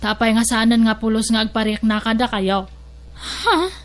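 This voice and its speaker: A woman's voice: a little high, steady tone, normal speed, lightly acted. A woman voicing a firm older sister-in-law in an audio drama, who lectures and asks pointed questions. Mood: scolding.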